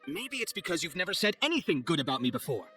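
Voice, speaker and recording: A man's voice: on the high side, sing-song, quick pace, pushed and bright, faint music behind. A man playing a proud, hot-tempered young architect in a video game, speaking with theatrical, haughty exasperation. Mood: irritated, suspicious.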